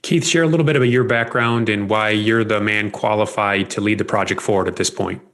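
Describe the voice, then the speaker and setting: A man's voice: lowish voice, fairly even intonation, fairly quick, a bit bright. A man hosting an interview, asking short, direct questions. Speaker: interview host.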